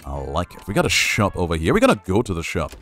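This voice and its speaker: A man's voice: sits low, wildly swooping pitch, fast talker, fairly bright tone, soft background music. A young man streaming a game, giving playful, jokey live commentary and reading chat aloud. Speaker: gaming streamer.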